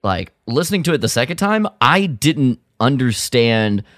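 A man's voice: medium pitch, very animated, moderate pace, fairly bright tone, no echo, studio quality. A man co-hosting a podcast, chatting casually and jokingly as he recaps and critiques a story with some exasperation. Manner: exasperated, jokey, mimics characters' lines. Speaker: podcast co-host. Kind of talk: casual chat, recapping and critiquing a radio drama.

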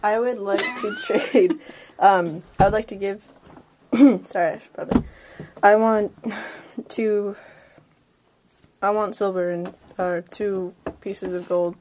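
A woman's voice: medium pitch, wildly swooping pitch, takes it slowly, pauses often, background noise. A woman chatting casually and playfully as a player in a tabletop game, telling what her character does.

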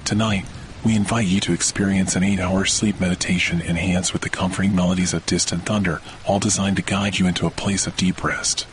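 Low-voiced male meditation guide over rain. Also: flat delivery, slight background noise. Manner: soothing, measured instruction. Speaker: podcast host, meditation guide. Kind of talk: scripted guided sleep meditation. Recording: rain and thunder behind voice. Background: rain and distant thunder.

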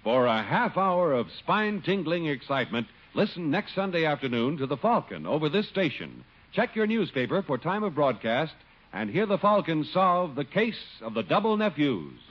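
Male 1950s radio announcer, lively scripted read, slightly high voice, muffled vintage recording. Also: moderate pace. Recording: dull old radio recording. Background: faint music.

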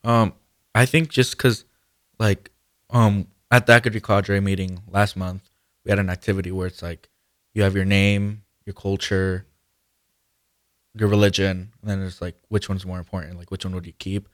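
A man's voice: low-pitched, flat delivery, normal speed, fairly bright tone, no room sound, clean studio sound. A teenage young man from Mexico giving casual, hesitant interview answers as a student guest, often saying "like". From Guanajuato, Mexico. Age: teens, high school senior. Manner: hesitant, peppered with "like". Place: radio studio.

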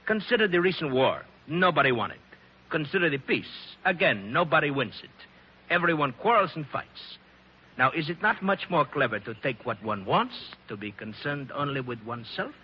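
An actor playing a suave, self-satisfied mountain bandit chief in a 1940s radio drama, husky, with a foreign accent and courtly, formal phrasing. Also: male voice, fairly high pitch, sing-song, moderate pace. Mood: smug, genial. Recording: muffled 1940s radio recording.

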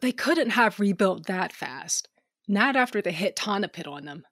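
A woman's voice: mid-range voice, lively intonation, moderate pace, a bit bright, no room sound, studio quality. A woman playing a shrewd, guarded smuggler captain in an audio drama, speaking in a blunt, commanding way. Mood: brooding, determined. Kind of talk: audio drama acting and first-person narration.

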